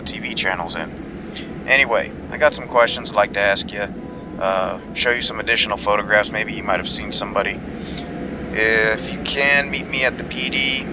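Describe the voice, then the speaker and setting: An actor playing a folksy, apologetic small-town police chief in a fiction podcast, talking haltingly and conversationally as if leaving a message. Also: male voice, fairly low pitch, background noise, close to an everyday voice. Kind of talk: fiction podcast acting, phone message monologue.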